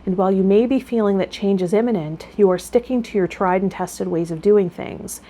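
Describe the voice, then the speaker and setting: A woman's voice: mid-range voice, brisk, mellow. A woman speaking as a tarot vlogger and guide, explaining and chatting directly to viewers. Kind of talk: reading card meanings, then casual chat to viewers. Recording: clear background noise.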